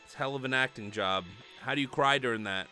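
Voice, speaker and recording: A man's voice: medium pitch, fairly quick, sharp tone, faint music behind. A man in his thirties doing casual, sweary comedic commentary as a show host, in an incredulous, ranting manner, close on a desk mic at home. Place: small home bedroom. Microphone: desk microphone with pop filter, close.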